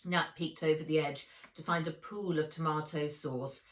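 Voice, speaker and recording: A woman reading her own children's novel aloud as narrator, in measured, expressive storytelling. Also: low-pitched, faint music behind. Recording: roomy echo, distant microphone.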